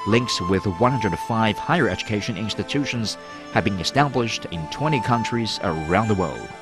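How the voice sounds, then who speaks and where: A man narrates a university promotional film in a formal, measured way. His voice sits a little low. Music plays loudly beneath the voice-over. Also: lively intonation. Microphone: studio voice-over.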